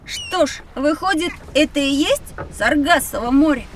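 Sceptical, curious young boy, piping high pitch, cheeky and matter-of-fact, slightly off-mic; children's drama. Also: expressive, a little slow, recorded from a distance, background noise. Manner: matter-of-fact, doubting, inquisitive. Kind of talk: children's educational audio drama.

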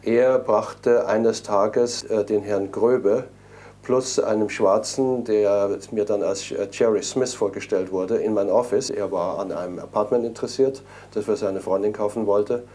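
Man from munich, hesitant, many fillers, lowish mellow voice. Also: fairly even intonation, unhurried. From Munich, Germany. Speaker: interviewee, property businessman. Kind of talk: interview, recounting events.

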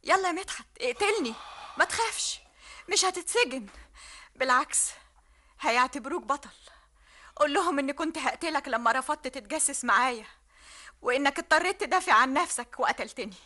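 A woman's voice: animated, fairly quick, pauses often. An actress with an Egyptian accent playing a scheming young female spy, theatrical and easily panicked. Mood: coaxing. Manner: theatrical, swings from coaxing hush to shrill panic. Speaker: radio drama actress. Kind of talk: 1960s Egyptian radio drama acting.

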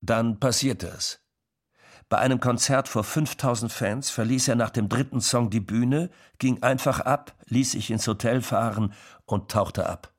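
A man's voice: mid-range voice, steady tone, unhurried. A man giving scripted narration for a radio documentary.

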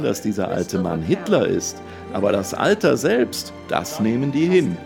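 A man's voice: low-pitched, vocal fry. A man playing a sceptical, grumbling radio journalist with a German accent and a dry, dismissive manner in a conversational radio drama. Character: sceptical, grumbling male radio journalist, exasperated by his colleague. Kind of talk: conversational radio feature acting.

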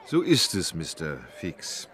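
Courteous, unflappable gentleman of England: sharp-edged tone, expressive melody, formal audio-drama delivery. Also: low voice, full character voice. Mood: composed. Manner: precise, formal, measured. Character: composed, proper English gentleman, unflappable and courteous. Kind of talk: German audio drama acting.

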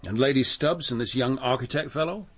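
A man playing a businesslike police inspector in a radio drama, slightly husky, questioning in a measured official manner. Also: mid-range voice, natural voice. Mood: patient, tactful.

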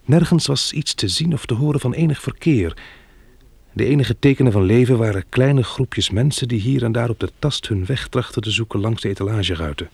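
A sober survivor in a radio play. His voice has a creaky edge and sits close and dry, and he speaks with polite, measured gravity. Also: mid-range voice, lively intonation, no echo. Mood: grave, measured. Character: polite, sober sighted survivor recounting a catastrophe. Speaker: narrator and lead actor. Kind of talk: radio drama narration and dialogue.

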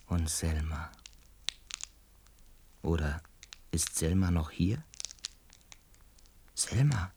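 An actor in a radio play as a lonely, distraught male lodger, talking haltingly and broodingly into a cassette recorder. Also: sits low, gravelly, slight background noise. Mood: wistful, weary. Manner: halting, brooding, swinging from murmurs to anguished cries. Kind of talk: dramatic radio play monologue.

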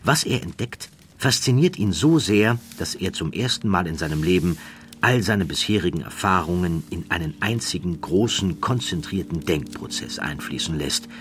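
A man narrates a scripted documentary story in a measured, dramatic way with deliberate pauses. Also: deep voice, a bit bright.

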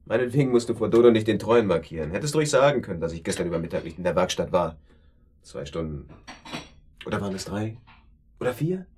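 An actor in a German radio drama playing an irritable, defensive, sarcastic man with a husky voice. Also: on the low side, expressive, quick pace, a little mellow, slight background noise, close to an everyday voice. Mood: simmering, sarcastic. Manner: sarcastic, agitated, blustering. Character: irritable, defensive, sarcastic brother feeling set up.

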